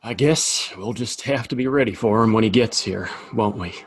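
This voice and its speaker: Casting: male actor as weary frontier barkeep, lowish husky voice, folksy and wry, for audio drama. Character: weary, wry Old West saloon keeper. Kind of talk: Western audio drama acting.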